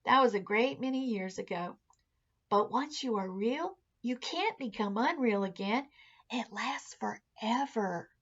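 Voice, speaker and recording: Wise old storybook toy horse, played by a woman: gentle, patient, very slow, breathy hush with some creak. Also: fairly high pitch, lively intonation, muffled recording. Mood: wistful, kind.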